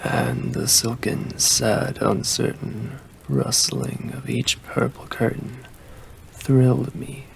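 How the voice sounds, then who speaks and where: A man reading a poem as an ASMR creator, with a deep, gravelly voice and a slow, hushed delivery that slips into whispers. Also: animated, a little hiss or hum. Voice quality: deep, gravelly voice with heavy fry. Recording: faint rain ambience behind the voice. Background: rain ambience.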